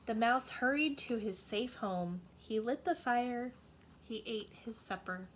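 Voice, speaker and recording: A woman's voice: on the high side, measured pace, a little hiss or hum, rough recording. A woman narrating a children's storybook as a teacher reading to young listeners.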